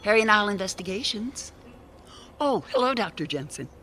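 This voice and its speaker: An actress playing a helpful, inquisitive detective agency secretary in a radio drama, obliging and informal. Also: female voice, mid-range voice, animated, brisk, pushed and bright, slight background noise. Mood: friendly.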